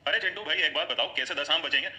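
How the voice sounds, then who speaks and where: A man's voice: on the high side, fast talker, bright, forceful tone. A man voicing a puzzled, scolding schoolmaster in a cartoon, questioning in an exasperated way.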